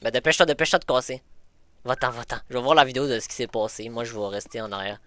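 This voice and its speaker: A man's voice: mid-range voice, steady tone, very fast, pushed and bright. A man chatting casually during a game, repeating himself in slangy speech mixed with English words, on a noisy mic. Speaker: co-player in a gaming video. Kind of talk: casual gameplay chat with a friend.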